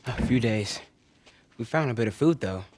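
A male performer acting an earnest American teenage boy in video game dialogue, soft-spoken and brief. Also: medium pitch, animated, moderate pace, close to an everyday voice. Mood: shy. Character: earnest young teenage boy, younger brother. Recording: noisy ambience under dialogue.

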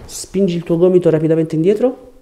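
A man's voice: sits high, fast, dark tone. A young man working as a chiropractor in a small treatment room, giving short reassuring directions and explaining the treatment. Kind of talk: hands-on treatment talk, explaining to patient and viewers. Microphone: lapel mic.

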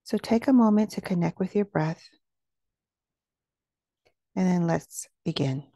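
Woman, meditation guide, dark relaxed tone, creaky edges, soothing, measured, dry recording. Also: fairly low pitch, no echo. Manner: slow, soothing, measured instruction.

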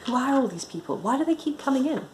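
A woman reading a storybook as an exasperated female detective inspector, brisk, sharp and commanding. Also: on the low side, animated, slight background noise.

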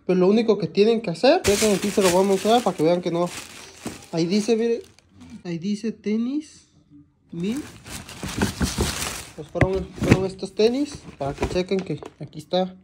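A man's voice: sits high, normal speed, pauses often, very noisy. Hesitant Mexican man, casual vlog. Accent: Mexican Spanish. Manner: hesitant, many fillers and restarts. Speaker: vlogger. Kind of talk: casual vlog talk to camera.

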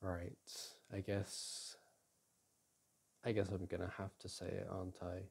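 A young man speaks close to the mic in a soft, teasing boyfriend roleplay. His voice is gravelly, husky and warm, and he talks in an unhurried drawl. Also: low-pitched, monotone. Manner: soft and teasing, with frequent sighs and chuckles. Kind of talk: intimate ASMR roleplay, speaking to an unseen partner. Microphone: close microphone.

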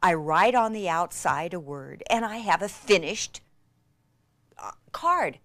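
A woman giving an enthusiastic hands-on craft demonstration, gushing and excitable, with breathy gasps and drops into near-whispers. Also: lowish voice, slow pace, no echo. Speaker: craft instructor.

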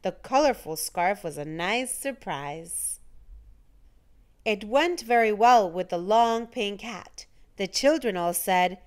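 Slow, very lively woman reading a storybook. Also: medium pitch, smooth. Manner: animated, deliberate storytelling with dramatic emphasis. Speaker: storytime reader. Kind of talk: expressive children's picture-book read-aloud.

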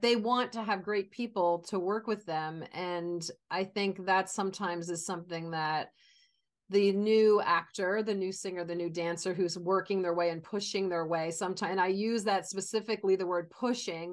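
A woman's voice: medium pitch, fairly even intonation, normal speed. An older woman hosting an interview, chatting in an encouraging way over a video call from her home office. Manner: encouraging, conversational. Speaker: host. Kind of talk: interview chat.

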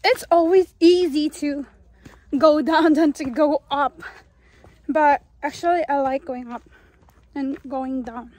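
A young Filipino woman talks to her phone camera on a wooded trail. Her voice is high and her pace is unhurried, her manner cheerful and chatty. It sounds like a casual outdoor selfie vlog. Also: steady tone, a little mellow. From Philippines. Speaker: vlogger. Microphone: phone held at arm's length.

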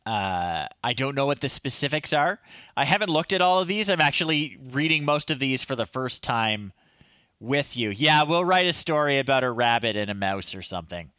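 A man in his thirties hosting a casual livestream, talking in an unhurried, amused, halting way. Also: mid-range voice, very animated, pushed and bright, clear, resonant tone, dry recording, dull audio. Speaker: livestream host. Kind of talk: casual livestream commentary, reading children's stories aloud. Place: small home room, indoors.

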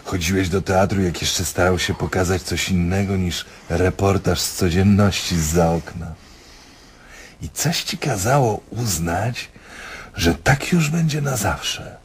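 An actor playing a diabolical male doctor in a radio drama, sardonic and domineering, drawling words for emphasis in a husky voice. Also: sits low, a little slow, slight background noise. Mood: wry, knowing. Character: diabolical, mocking male doctor toying with his patient.

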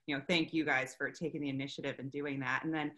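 Bright, bubbly young woman hosting. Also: a bass voice, little pitch movement. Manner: bubbly, enthusiastic, quick restarts. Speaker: interview host. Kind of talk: upbeat casual interview chat. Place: outdoors in a park by water. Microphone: video call webcam.

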